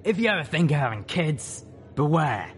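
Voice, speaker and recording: A man voicing a gruff griffin mother and mercenary leader, firm and world-weary. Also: on the high side, sing-song, normal speed, voice pushed up.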